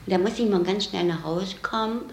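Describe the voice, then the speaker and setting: A woman's voice: medium pitch, a little fast, slight background noise. Woman chatting in a roomy space. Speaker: department store saleswoman interviewee. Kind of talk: casual interview account. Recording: roomy, reverberant interview recording.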